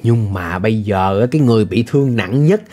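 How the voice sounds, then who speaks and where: Lively young man reacting excitedly. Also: fairly low pitch, a little fast. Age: young adult. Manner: animated, exclamatory, slangy. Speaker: reaction video host. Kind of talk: excited reaction commentary on an animated episode. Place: small indoor room, webcam setup. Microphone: close desk microphone.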